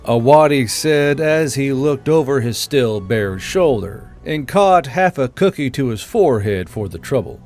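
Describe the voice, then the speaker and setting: A man's voice: mid-range voice, lively intonation, unhurried, hardly any pauses, a little mellow, faint music behind. A man reading scripted fiction as an audiobook narrator.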